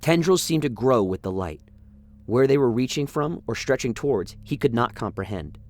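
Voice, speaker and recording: A man's voice: mid-range voice, animated, moderate pace, darkish tone. A man narrating a science-fiction radio play in a dramatic, scripted storytelling style. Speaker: narrator, lore reader.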